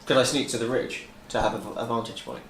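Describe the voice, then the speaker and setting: A man's voice: mid-range voice, level tone, quick pace, a bit bright. A man talking casually as a player in a tabletop role-playing game. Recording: distant, echoey, noisy room.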